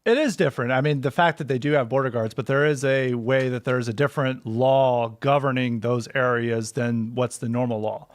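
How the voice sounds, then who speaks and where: Homem convidado de podcast, fala divagante. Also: male voice, medium pitch, moderate pace, few pauses. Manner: rambling, loosely built sentences. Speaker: podcast guest. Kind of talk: political talk show discussion. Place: studio. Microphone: studio mic on boom arm.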